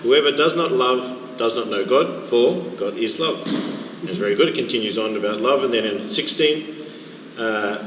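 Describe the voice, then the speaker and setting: A man with a medium-pitched voice hosts a casual livestreamed faith chat. He talks informally, often repeating and confirming. He sounds a little away from the mic in a very echoey space. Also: normal speed, fairly bright tone. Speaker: host, interviewer, missionary. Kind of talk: casual live interview chat. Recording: echoey hall, distant mic, faint noise.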